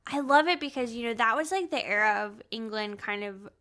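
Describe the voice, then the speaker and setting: A woman co-hosting a podcast in casual book chat, with a gushing, excitable manner. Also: fairly high pitch, fairly quick. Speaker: podcast co-host.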